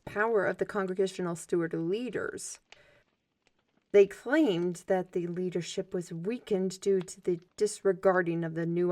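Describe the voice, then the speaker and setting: A woman playing a shrewd, outspoken steward in a fantasy audio drama, with a quaint, expressive way of talking. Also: lowish voice, close to an everyday voice.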